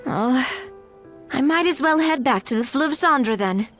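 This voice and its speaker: A woman's voice: high voice, expressive, unhurried, fairly bright tone, soft background music. An actress playing a friendly, easygoing young woman in a game, speaking in a reassuring, conversational way. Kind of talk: game voice acting.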